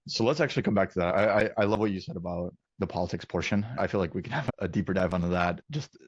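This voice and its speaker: A man's voice: low voice, fast, slightly rough, dry recording, highs cut off. A man hosting a casual business interview, talking in an encouraging, affirming way. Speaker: interview host, company founder.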